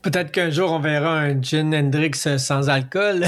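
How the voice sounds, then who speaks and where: A man with a slightly high voice hosts a casual interview. He speaks informally and feels his way through questions, often restarting and rewording them. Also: a bit bright, slight background noise. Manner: informal, halting, reformulates questions. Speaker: interviewer, host.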